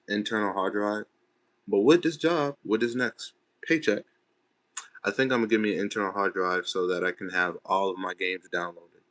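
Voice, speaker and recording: A man's voice: on the low side, fairly bright tone. Laid-back young man chatting on a livestream. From Texas. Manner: laid-back, chatty, loose slangy phrasing. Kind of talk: casual livestream chat reading comments. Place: bedroom at home.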